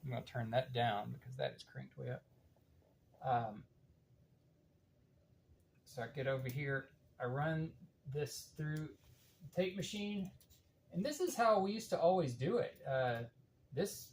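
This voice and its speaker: An older man talks through a practical demonstration in a casual, explanatory way. His delivery is unhurried and halting, as if thinking aloud while he works. A faint wash of room noise sits behind his medium-pitched voice. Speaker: demonstrator of reel-to-reel tape machines.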